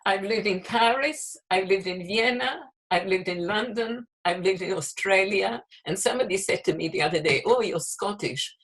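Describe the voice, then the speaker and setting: A woman's voice: medium pitch, normal speed, hardly any pauses, a bit bright, poor audio. An older woman born in Palestine, recalling her life with a wry, emphatic manner. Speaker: interviewee. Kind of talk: personal reminiscence.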